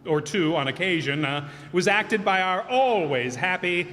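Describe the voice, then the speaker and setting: Give me a man with a somewhat high voice doing curtain-call thanks in a reverberant hall, halting and rambling. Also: lively intonation, a bit bright. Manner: rambling, with frequent fillers and self-corrections. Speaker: host and cast member of a community radio theater group. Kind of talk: curtain-call speech thanking cast and crew. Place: live performance hall before an audience. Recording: reverberant hall, faint background noise.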